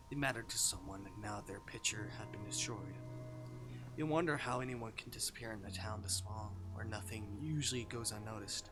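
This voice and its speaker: A male streamer reading a visual novel aloud with expressive, dramatic delivery and casual commentary. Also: on the low side. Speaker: game streamer. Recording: music behind the voice.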